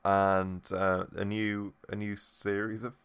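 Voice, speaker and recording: A man with a low, smooth, resonant voice, riffing through comedic podcast banter. He rambles, laughs easily and trails off into ums. The audio is dull and lo-fi. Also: level tone, slow pace, a bit bright. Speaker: podcast co-host.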